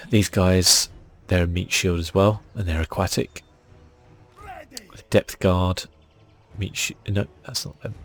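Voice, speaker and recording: A man giving soft-spoken, musing ASMR gameplay commentary in a husky, breathy voice that sometimes nears a whisper. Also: deep voice. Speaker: gaming video host.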